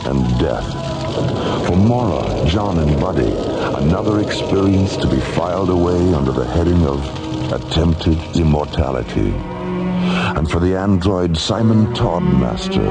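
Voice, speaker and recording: Male narrator-host for radio drama: low voice, expressive intonation, solemn formal announcing over music. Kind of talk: scripted dramatic narration and credits. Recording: music behind the voice.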